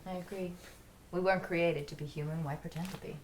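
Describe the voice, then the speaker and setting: A woman's voice: lowish voice, steady tone, brisk, a little mellow, close to an everyday voice. A woman reading the part of a composed, polite android spokesperson in a drama script. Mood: composed, friendly. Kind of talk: table read of a drama script. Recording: faint background noise.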